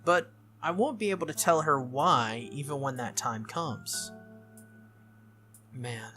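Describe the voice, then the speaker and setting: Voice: medium pitch, takes it slowly, pushed and bright, soft background music. A game reader voicing an earnest, easily flustered teenage schoolboy from an anime visual novel, apologetic and exclaiming. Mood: downcast.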